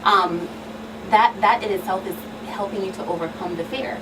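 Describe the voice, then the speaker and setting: A woman's voice: mid-range voice, normal speed. A woman in her thirties speaking as a guest expert in an earnest panel discussion, explaining with everyday examples. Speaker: talk show guest expert. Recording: distant mic, clearly noisy room.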